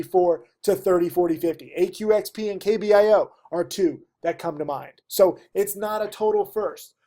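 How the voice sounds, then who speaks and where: A man's voice: high-pitched, dark tone. A man teaching his students, stuffy and losing his voice, pleading with them to study. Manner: emphatic, pleading. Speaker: trading teacher. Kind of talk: direct-to-camera lesson.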